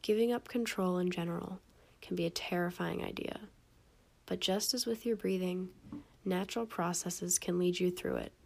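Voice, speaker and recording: Young woman calmly guiding a meditation. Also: on the low side, gravelly. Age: young adult. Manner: calm, gentle, measured with soft phrase endings. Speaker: meditation guide. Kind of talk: scripted guided meditation.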